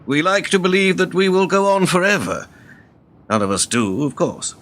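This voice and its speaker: An actor in a radio drama playing an ageing, smooth-talking political fixer, cynical, measured and self-assured. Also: male voice, sits high, big pitch swings, a bit bright. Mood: grave, persuasive.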